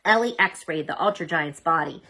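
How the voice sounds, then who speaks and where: A woman reading a children's storybook aloud as an expressive narrator. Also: sits low, normal speed. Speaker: storybook reader.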